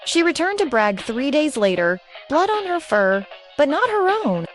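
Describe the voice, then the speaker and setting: A woman narrates a scripted storybook tale. Her voice sits a bit high and moves up and down in a lively way, with music playing behind her. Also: moderate pace.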